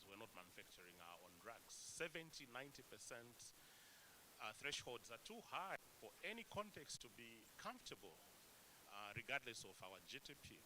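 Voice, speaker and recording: A man from Zambia speaks up at a meeting, earnest and emphatic, his pitch swinging widely as he presses his point with rhetorical questions and frequent hesitations. The room mic carries a clear steady hiss under his voice. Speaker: conference delegate. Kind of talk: impassioned floor remarks at a regional meeting.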